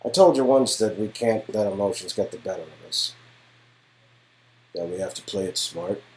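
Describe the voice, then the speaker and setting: A man acting out a guarded adult man from a game, protective and wry, who opens up in halting, earnest confessions. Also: fairly low pitch, a little mellow, natural voice, low-quality recording. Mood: remorseful, sincere. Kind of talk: dramatic game dialogue performance.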